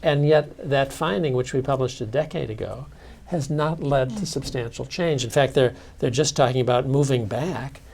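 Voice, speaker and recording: Gravelly-voiced man citing research data. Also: medium pitch, normal speed, few pauses, a little mellow, slight background noise. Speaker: sleep researcher. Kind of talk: panel discussion.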